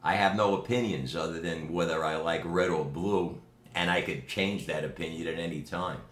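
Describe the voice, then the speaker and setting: A man's voice: low voice, steady tone, fairly bright tone. An older man from New York City with a New York accent, recording a boastful, emphatic video message at home. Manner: boastful, emphatic, slow deliberate delivery. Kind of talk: direct-to-camera video message. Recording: echoey room with faint ambient noise.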